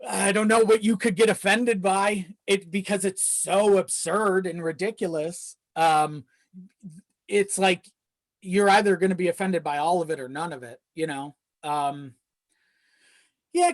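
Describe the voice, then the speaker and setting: A man's voice: high voice, expressive, unhurried. A man speaking as a podcast guest in casual, reflective chat, thinking aloud as he goes. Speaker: podcast guest, audio drama creator.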